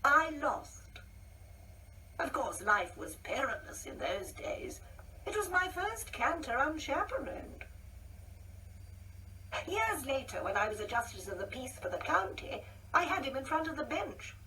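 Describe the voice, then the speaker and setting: A woman playing a genteel older married woman in a radio play, with a theatrical, halting, wistful delivery. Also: sits high, sing-song, bright, forceful tone, heavily acted. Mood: confiding, reminiscing. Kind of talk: radio play monologue acting. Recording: distant mic with faint background noise.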